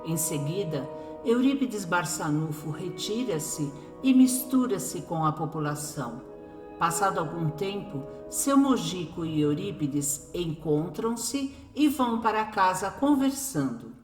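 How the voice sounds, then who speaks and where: A woman's voice: lowish voice, animated, unhurried, darkish tone. A woman narrating a radio drama from a script. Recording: echoey, music behind. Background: music.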